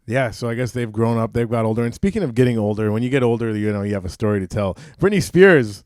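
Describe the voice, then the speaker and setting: Man, podcast host, deadpan jokes, mid pitch, dry room. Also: fast talker, no echo. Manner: deadpan setups with pun punchlines. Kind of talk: joking podcast hosting.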